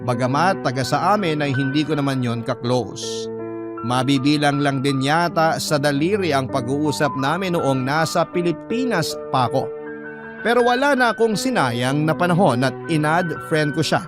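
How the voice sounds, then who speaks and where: A man's voice: fairly high pitch, expressive, normal speed, close to an everyday voice. A man with a Filipino accent playing a troubled seafarer son who narrates his story earnestly for a radio drama. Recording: music bed behind the voice.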